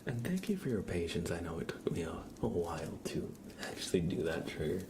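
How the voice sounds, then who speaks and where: A man hosting an ASMR livestream, chatting casually with viewers in a soft, breathy whisper, rambling and gently playful. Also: sits low, sing-song, fairly quick, background noise.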